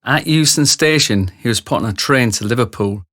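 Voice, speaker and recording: A man's voice: medium pitch, moderate pace, fairly bright tone, no echo, clean studio sound. A man reading scripted narration for a radio drama, telling the story.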